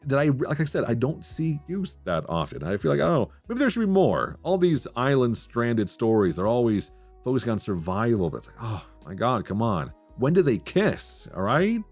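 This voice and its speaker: A man's voice: mid-range voice, sing-song, normal speed, darkish tone, faint music behind. A man hosting a solo podcast in a casual, conversational way, speaking with enthusiasm and emphasis. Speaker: podcast host.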